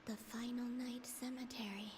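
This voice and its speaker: An actress voicing a gentle, lonely young woman in a video game, speaking softly and pensively. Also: fairly high pitch, little pitch movement, moderate pace, noisy background, natural voice. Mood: worried, musing. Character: gentle, wistful young woman with fading powers, lonely and questioning. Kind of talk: scripted video game voice acting. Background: game music.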